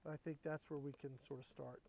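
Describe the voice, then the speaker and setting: A middle-aged man hosting, explaining casually in relaxed talk at an outdoor shooting range, recorded on a camera mic outdoors. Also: fairly high pitch, brisk, vocal fry, slight background noise. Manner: easygoing, explanatory. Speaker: host.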